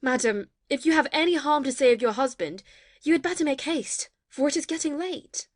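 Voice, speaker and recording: An actress playing a brisk, cheeky young maidservant in a classic comedy audio play, pert and urgent. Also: female voice, high-pitched, lively intonation, dry recording, clean studio sound. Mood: pert urging.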